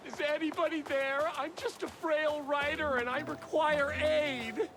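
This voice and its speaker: A man's voice: extremely high pitch, fairly even intonation, a little slow, background noise. A man playing a cocky, taunting evil twin in a video game, with a theatrical, mocking delivery. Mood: mock pleading. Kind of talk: hammy game villain acting.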